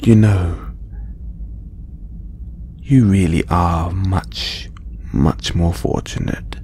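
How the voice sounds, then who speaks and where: A man playing a condescending, possessive alien trophy hunter in an audio roleplay, speaking deliberately in breathy half-whispers with long pauses. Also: low voice, a little mellow, slight background noise. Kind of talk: slow audio roleplay monologue to listener.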